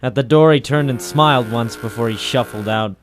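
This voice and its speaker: A man's voice: mid-range voice, fairly quick, slight background noise, natural voice. Man as cynical hardboiled American private eye. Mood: cynical narration. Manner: clipped, sardonic, deadpan. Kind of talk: 1940s radio noir acting and first-person narration.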